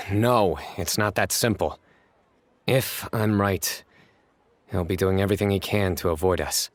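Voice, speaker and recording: An actor playing a stern, guarded young desert lawman in a video game, terse and measured. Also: low-pitched, slight background noise. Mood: guarded, reluctant. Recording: game music behind the voice.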